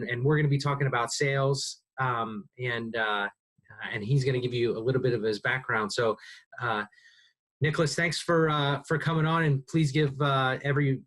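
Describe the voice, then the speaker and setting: A man hosting a business podcast, chatting in a relaxed way with plenty of fillers. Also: medium pitch. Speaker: podcast host. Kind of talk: casual podcast interview.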